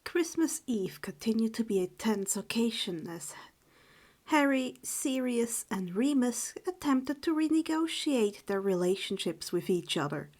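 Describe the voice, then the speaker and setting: A woman speaking in a hushed, subdued voice, halting and correcting herself as she chats and reads stories aloud. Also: on the high side, animated. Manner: halting, stumbling over words and correcting herself. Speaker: storyteller reading fan fiction aloud for a channel. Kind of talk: informal chat, then read-aloud narration.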